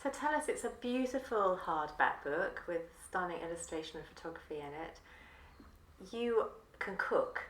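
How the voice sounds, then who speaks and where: A woman's voice: on the low side, expressive, moderate pace, fairly bright tone. A woman co-hosting a podcast, asking a guest questions in a friendly, enthusiastic way. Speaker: podcast co-host. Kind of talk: interview questions. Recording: echoey room with faint noise.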